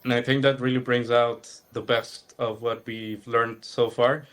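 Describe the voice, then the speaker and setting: A young man from Guatemala, an animation student, talks in a relaxed, earnest way about his student project during a video-call webinar. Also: medium pitch, a little slow. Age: young adult. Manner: relaxed and earnest, with some fillers. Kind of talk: casual account given in a webinar.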